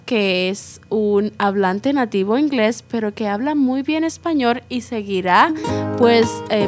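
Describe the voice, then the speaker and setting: A woman hosting a casual podcast interview, asking questions and summing up her guest's answers with encouragement. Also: a little high. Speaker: podcast host.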